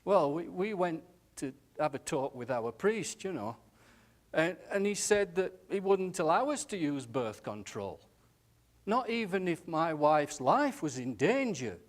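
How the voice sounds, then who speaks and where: Tender old widower, played by a man: drawn-out, halting delivery, warm nostalgic chuckles. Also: a little high, big pitch swings. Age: older. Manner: slow, halting, chuckling, says "aye" often. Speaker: nursing home resident.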